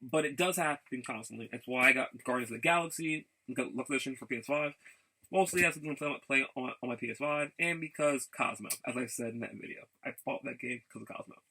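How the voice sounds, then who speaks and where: A man with a bright, forceful voice and a lot of gravelly fry chats as a casual vlogger. He is keen and rambling, often stopping to repeat himself or change course mid-sentence. His pitch sits in an ordinary male range. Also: low-quality recording. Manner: halting, repeats words and backtracks often. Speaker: vlogger showing game and figure pickups. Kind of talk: casual rambling vlog chat.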